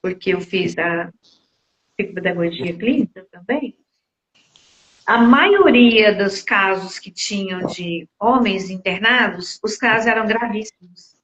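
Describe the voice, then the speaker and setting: A woman's voice: mid-range voice, expressive, measured pace, fairly bright tone, reverberant. A Brazilian woman in her sixties reflecting casually in conversation as a podcast guest, searching for words as she goes. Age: 60s. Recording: slightly dull lo-fi audio.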